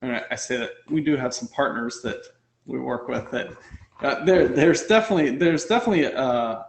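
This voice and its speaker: A man, a dentist and company founder, speaking as an interview guest in an animated way, piling up rhetorical questions. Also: mid-range voice, brisk. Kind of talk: casual interview conversation. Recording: roomy echo.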